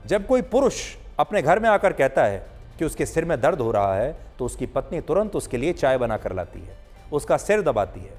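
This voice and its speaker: A man presenting a scripted explainer in a deliberate, didactic television news manner. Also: on the high side, darkish tone.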